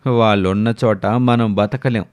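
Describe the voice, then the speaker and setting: A man's voice: lowish voice, steady tone, moderate pace, darkish tone, clear, resonant tone, dry recording, lightly acted. A man playing a frank, cynical village man needling his companion in a moral story. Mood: blunt, resigned.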